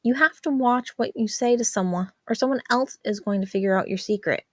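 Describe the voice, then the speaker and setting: Teenage older sister in an audio story, female reader, raised pitch, worried, bossy, emphatic scolding. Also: brisk, no room sound, clean studio sound, natural voice. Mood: chiding, worried. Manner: chiding, emphatic.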